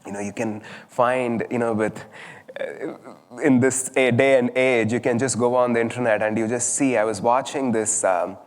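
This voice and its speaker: A man's voice: medium pitch, steady tone, moderate pace. A man from southern India, a Tamil speaker, giving a thoughtful, story-driven spiritual talk with frequent fillers. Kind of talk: reflective sermon talk. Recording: long hall reverb.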